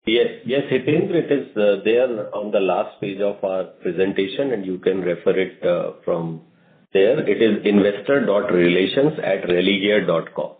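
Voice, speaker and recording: Very deep-voiced Indian man, echoey conference line. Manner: halting, hesitant delivery. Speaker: company executive on an investor call. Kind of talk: corporate earnings call remarks. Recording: muffled phone conference, echoey room.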